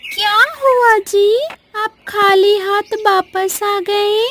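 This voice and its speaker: A woman's voice: extremely high pitch, fairly even intonation, extremely slow, a bit bright, a little hiss or hum, heavily acted. A woman voicing an anxious, caring crow wife and mother who pleads earnestly in a children's cartoon. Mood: concerned, insistent. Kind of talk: Hindi children's cartoon story dialogue.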